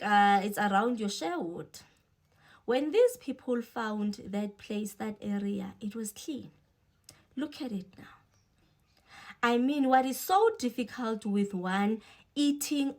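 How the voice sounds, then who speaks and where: A woman's voice: a little high, darkish tone. A woman from the Eastern Cape with a South African accent, talking casually and giving her opinions as a vlogger, with wry asides.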